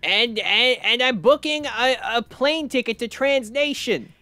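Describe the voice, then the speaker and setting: A woman's voice: fairly high pitch, a little slow, bright, forceful tone, clean tone, no echo. A woman hosting a gaming channel, improvising comic dub lines in a hesitant, mock-earnest manner. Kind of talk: improvised comic dubbing and casual chat.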